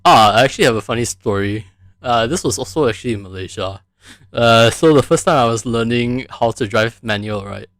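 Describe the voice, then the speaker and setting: A man's voice: mid-range voice, fairly quick, fairly bright tone, no room sound. A man from the United States chatting casually as the host of a live stream podcast.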